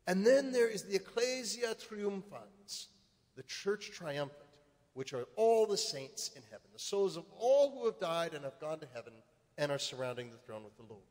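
A man's voice: high-pitched, very animated, moderate pace, a little mellow. A male priest preaching a sermon, building to emphatic, rising points. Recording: reverberant church hall.

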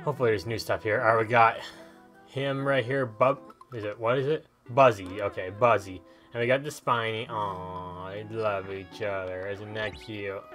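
A man with an average-pitched voice hosts a casual gaming video. He talks through the play as it comes to him, in a joking, rambling way. Video game music runs behind his voice. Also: a bit bright. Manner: joking, rambling, thinking aloud. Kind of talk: casual let's play commentary.

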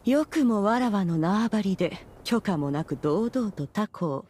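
A woman voicing a proud immortal mistress in a Japanese video game, with a husky voice and regal, archaic, haughty delivery. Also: mid-range voice, lively intonation, unhurried, a little mellow, slight background noise, close to an everyday voice. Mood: indignant.

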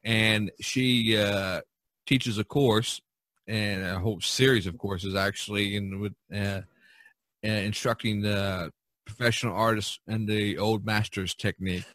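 A man's voice: on the low side, fairly even intonation, a little slow, fairly bright tone, dry recording. A man hosting an art podcast in casual chat, speaking in an encouraging way. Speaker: podcast host and artist.

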